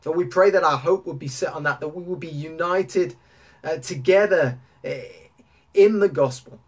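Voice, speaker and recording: A British man in his thirties, an earnest church pastor giving an informal, gently exhorting address and repeating key phrases. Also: on the high side, moderate pace. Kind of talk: informal sermon and church update to camera. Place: small indoor room lined with bookshelves.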